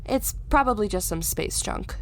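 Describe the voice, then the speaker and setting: A woman's voice: medium pitch, lively intonation, moderate pace, a bit bright, slight background noise. An actress playing a confident, teasing female scientist in an audio drama, upbeat and assured. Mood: alarmed.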